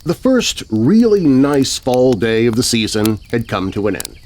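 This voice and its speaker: Expressive man narrating a spooky story. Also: mid-range voice, a little slow, a little hiss or hum. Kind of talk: scripted children's horror story narration. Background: music.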